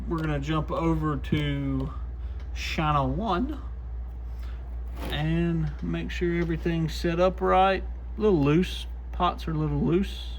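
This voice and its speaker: A man with a slightly high voice talks casually through testing gear, plainspoken and folksy. He sounds like a shop technician hosting his own video. There is faint noise behind him. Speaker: host, CB radio shop technician testing used radios. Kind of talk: casual hands-on product testing commentary.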